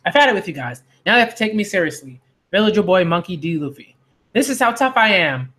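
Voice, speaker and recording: A man's voice: high-pitched, big pitch swings, quick pace, bright, forceful tone, exaggerated voice. A man reading the part of a stubborn, bragging little village boy from a manga who longs to be a pirate. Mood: defiant. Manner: defiant, bragging.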